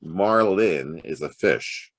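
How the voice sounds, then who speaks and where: An actor playing a wry, hard-boiled private detective in a noir audio drama, narrating and talking in terse slang. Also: male voice, deep voice, lively intonation, slow, a bit bright, husky, heavily acted. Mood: dry, teasing.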